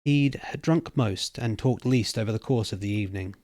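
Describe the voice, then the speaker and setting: A man's voice: on the low side, moderate pace, a little mellow, no echo, clean studio sound. A man giving a dramatic reading of a story as its narrator. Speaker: reader.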